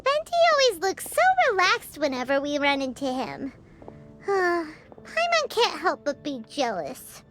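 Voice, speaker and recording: A woman voicing a tiny, cheeky, excitable fairy girl with a squeaky childlike voice in an anime-style game. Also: measured pace, full character voice. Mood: envious. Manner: exclaiming, refers to self in third person.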